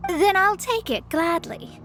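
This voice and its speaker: A woman's voice: extremely high pitch, a little slow, a bit bright, soft background music. A woman voicing a proud, self-assured young female mage in a video game, with a haughty, commanding manner. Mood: composed.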